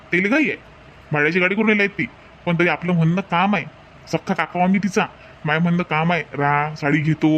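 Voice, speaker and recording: A man's voice: high-pitched, close to an everyday voice. A man playing a hospitable, firm village uncle in a cartoon comedy, insistent and matter-of-fact, with a Varhadi Marathi accent. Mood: stern warning. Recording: dull lo-fi sound, faint noise.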